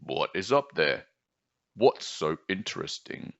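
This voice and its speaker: A man voicing a friendly, contented swamp frog in a storybook, with a croaky, settled, ribbiting delivery. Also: deep voice, very animated, slow pace, a bit bright, no echo, muffled recording, full character voice. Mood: curious. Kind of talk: storybook character voice.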